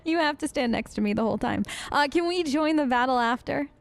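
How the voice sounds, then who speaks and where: A woman's voice: high voice, animated, fairly quick, gravelly. A young woman streaming a role-play playthrough, chatty and playful, answering the game characters in her own voice. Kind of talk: casual role-play gameplay commentary.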